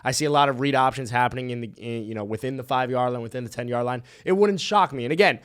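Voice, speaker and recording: Hoarse man hyping football picks. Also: medium pitch, fast talker. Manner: excitable, pushes hot takes and ad reads. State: hoarse, losing his voice. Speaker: podcast co-host. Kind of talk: casual sports podcast chat.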